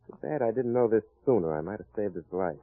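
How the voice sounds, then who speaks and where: An actor playing a wry, confident private detective in a hard-boiled old-time radio crime drama. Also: male voice, on the low side, lively intonation, fast, no room sound. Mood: wry, assured. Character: wry confident male private detective cracking a murder case. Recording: muffled vintage radio recording.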